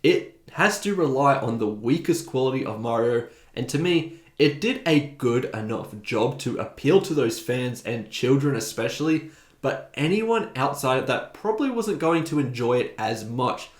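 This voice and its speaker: A man's voice: mid-range voice, lively intonation, normal speed. A man hosting a video essay, giving opinionated, conversational commentary. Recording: roomy, echoing voice recording.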